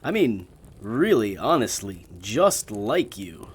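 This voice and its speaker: An actor playing a polite, earnest gentleman magician in an audio drama, speaking courteously and a little formally. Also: on the low side, sing-song, takes it slowly, background noise. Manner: courteous, slightly formal phrasing.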